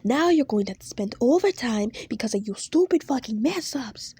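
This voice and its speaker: A woman voicing a cruel, hot-tempered male boss who shouts and swears. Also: mid-range voice, animated, slow, breathy edge, no room sound. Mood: furious.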